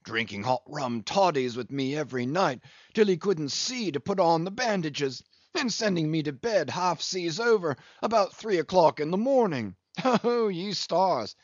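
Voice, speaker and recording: High-pitched, boisterous jolly whaling captain. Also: male voice, lively intonation, moderate pace, no room sound, muffled recording. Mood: jolly teasing. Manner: hearty, teasing, exclamatory.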